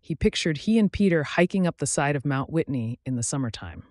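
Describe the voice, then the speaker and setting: A man's voice: on the high side, expressive, fairly quick, dry recording, clean studio sound. A man reading a story aloud as scripted audiobook narration.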